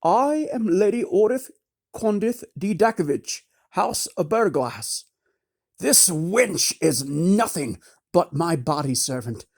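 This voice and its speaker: A narrator voicing a shrill, demanding, self-important noblewoman who screeches her complaints. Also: animated, slow pace, a little mellow, exaggerated voice. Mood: shrill imperious. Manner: screeching, imperious.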